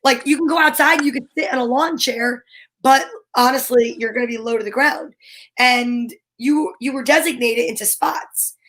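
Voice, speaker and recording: A woman talk show host chatting casually, telling stories in an animated, rambling way. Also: a little high, normal speed, rough recording. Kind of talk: casual chat.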